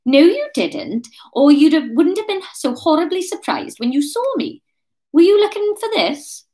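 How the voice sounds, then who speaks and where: Woman playing storybook woman, highish pitch, animated intonation, awkward, brazen, falsely casual. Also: normal speed. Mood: challenging. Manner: hesitant but brazen, feigned casualness. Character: awkward young woman bluffing her way through, storybook.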